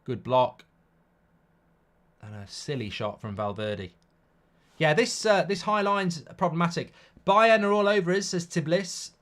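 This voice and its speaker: A British man with a slightly croaky, bunged-up voice hosts a live football watchalong, mixing casual commentary with blunt, jokey replies to the chat. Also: fairly high pitch, lively intonation, measured pace, fairly bright tone.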